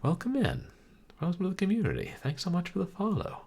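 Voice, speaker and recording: A man live-streaming a video game, talking calmly and softly, with a rambling, casual flow full of fillers. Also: high-pitched, fast, vocal fry, slightly rough. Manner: soft-spoken, calm, rambling with fillers.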